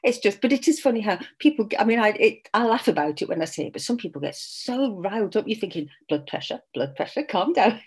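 A woman's voice: medium pitch, big pitch swings, brisk, a little mellow, slightly rough. A middle-aged English woman author with traces of a Scottish accent, chatting casually in an interview, laughing often and restarting her sentences. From England.

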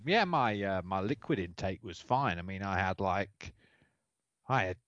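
A man co-hosting a podcast over a dull, dry remote line. His voice sits fairly low but swings widely in pitch as he rambles and hesitates. He sounds animated throughout. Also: unhurried, no echo. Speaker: podcast co-host. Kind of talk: casual podcast chat.

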